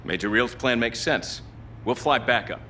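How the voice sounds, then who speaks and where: Bright, forceful pragmatic male colonel played by an actor. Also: mid-range voice, normal speed, slight background noise, natural voice. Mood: matter-of-fact. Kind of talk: cinematic military game dialogue.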